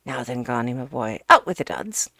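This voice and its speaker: A woman reading aloud, voicing a hearty, bossy, bustling middle-aged Englishman who talks loud and brisk. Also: rumbling low, sing-song, creaky, no echo, heavily acted.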